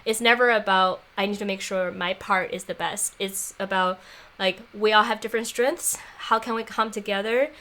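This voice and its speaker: A young woman talking in a casual interview, thoughtful and warm, laughing often and using plenty of fillers. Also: medium pitch, steady tone, normal speed. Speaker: guest, former apprentice. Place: makeshift home studio on an island.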